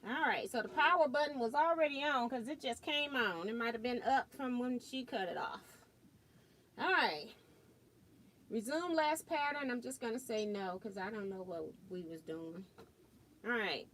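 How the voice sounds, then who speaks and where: A woman with a loud, bright, fairly high voice hosts a casual live sewing demo. She thinks aloud and chuckles as she works. The sound comes from a laptop mic about four feet off in a home sewing room, with a faint hiss behind her. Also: animated, normal speed. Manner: chatty, thinks aloud while working, chuckles often. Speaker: quilting and sewing livestream host. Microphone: built-in laptop mic about four feet away.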